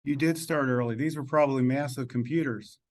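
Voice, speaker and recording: A man hosting an interview, asking conversational questions in a measured way, pausing between phrases. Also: medium pitch, fairly even intonation. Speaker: interviewer, host.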